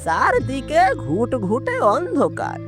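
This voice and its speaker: A woman narrating a horror story in an expressive, theatrical way. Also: high voice, slow pace, music in the background, exaggerated voice. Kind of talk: dramatic horror story narration with character voices.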